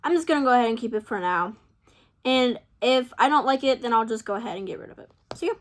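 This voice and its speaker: Young woman vlogger, slightly high, chatty and rambling, voice worn from long talking. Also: animated, quick pace. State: losing her voice. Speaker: beauty vlogger. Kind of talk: casual chat to camera.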